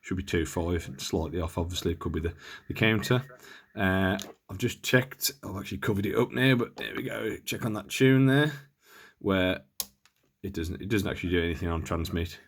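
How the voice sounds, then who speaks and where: Male presenter, low voice, off-the-cuff and tentative, talking through equipment informally. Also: normal speed, a little hiss or hum. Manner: hesitant, tentative, thinking aloud. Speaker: presenter of a radio equipment demonstration. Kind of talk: casual unscripted hands-on demonstration.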